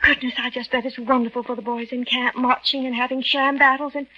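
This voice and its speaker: A woman's voice: high voice, flat delivery, brisk, sharp tone. A woman playing a naive, clingy young American wife in a 1940s radio drama, chattering with gushing, pouty reproach. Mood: gushing, chatty. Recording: dull, band-limited 1940s radio recording.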